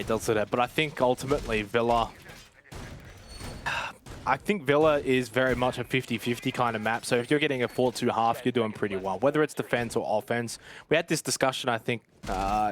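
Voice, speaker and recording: A man casting a live esports match, breaking down plays and getting louder on big moments. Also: mid-range voice, slight background noise. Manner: analytical, rising excitement on big plays. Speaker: esports caster.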